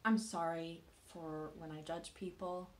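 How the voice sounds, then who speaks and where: An actress plays a young woman in a quiet contemporary drama, vulnerable and grieving. Her voice sits a little low and she speaks very slowly, with tentative pauses. She sounds earnest and unsure of herself. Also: steady tone, close to an everyday voice. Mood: earnest, sheepish. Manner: halting, tentative, with long pauses. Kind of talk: naturalistic contemporary dramatic monologue.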